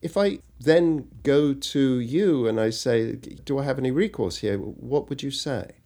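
A man hosting a podcast, narrating and chatting in a measured, conversational way. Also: mid-range voice, dark tone. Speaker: podcast host. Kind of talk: scripted narration and chat.

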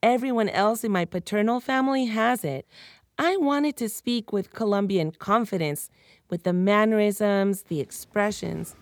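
A woman's voice: medium pitch, measured pace, no room sound, studio quality. A woman, a reporter narrating a podcast story in a candid, personal way. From United States (Miami). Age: 30s.

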